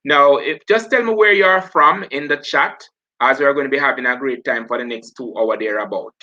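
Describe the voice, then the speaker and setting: Bright-voiced Jamaican man teaching live. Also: medium pitch, a little fast, muffled recording. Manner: talks while working through papers, self-correcting. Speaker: teacher hosting a live revision stream. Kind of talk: live online exam revision.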